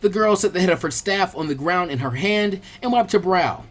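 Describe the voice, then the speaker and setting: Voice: mid-range voice, lively intonation, a little fast, fairly bright tone. A narrator reading an action story aloud as a dramatic, scripted audiobook. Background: background music.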